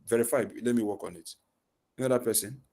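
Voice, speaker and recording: A man's voice: medium pitch, fast talker, warm tone. A man tutoring physics in an online lesson over a headset on a video call, posing questions and answering them himself. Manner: asks leading questions and fills in the answers.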